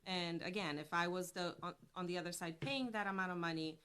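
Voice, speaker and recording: Bright-voiced woman with a Polish accent. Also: lowish voice, a little fast, clear, resonant tone. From Poland. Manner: chatty, upbeat and conversational. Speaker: guest, travel business owner. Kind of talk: casual interview chat.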